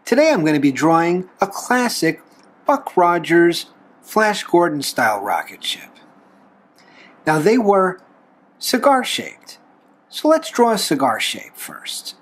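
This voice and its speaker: Guy doing a drawing how-to, voice kinda high and swoopy, folksy, takes his time between steps. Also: very animated, slow. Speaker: host of a drawing tutorial show, reverend. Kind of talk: step-by-step drawing instruction. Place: talking from behind a camera while drawing.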